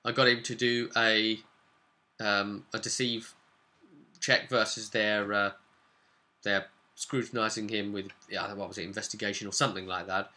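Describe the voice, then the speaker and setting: A man's voice: on the low side, little pitch movement, moderate pace, long pauses, sharp tone. A man telling a casual recap as a game master, stressing key words and trailing off into conversational asides. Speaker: game master recapping his tabletop role-playing campaign. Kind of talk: casual recap storytelling to camera.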